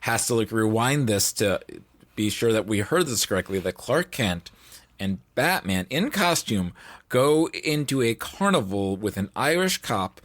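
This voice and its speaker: Man, slightly low voice, dry close sound, emphatic, casual podcast talk. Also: lively intonation, a little slow, a bit bright, dry recording. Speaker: podcast guest. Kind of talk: casual pop-culture discussion.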